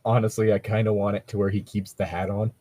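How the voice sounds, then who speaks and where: A man's voice: lowish voice, level tone, quick pace, mellow. A man chatting casually as a co-host, rambling through commentary and swearing freely.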